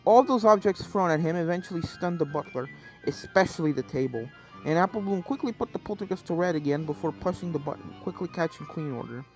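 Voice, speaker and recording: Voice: medium pitch, lively intonation, fairly quick, poor audio. A reader giving scripted narration of a fan fiction story. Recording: game music behind the voice.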